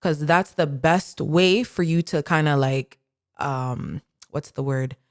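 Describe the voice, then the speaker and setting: A woman co-hosting a podcast who talks in an animated, reflective way, full of "like" fillers. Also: deep voice, slow, no echo, studio quality. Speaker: podcast co-host. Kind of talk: casual conversation.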